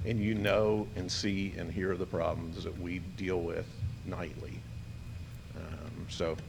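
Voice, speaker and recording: A man and local business owner making a public comment at a city meeting, earnest and polite with many pauses. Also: flat delivery, background noise. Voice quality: gravelly, low voice with hesitant fillers. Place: city commission chamber. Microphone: podium microphone.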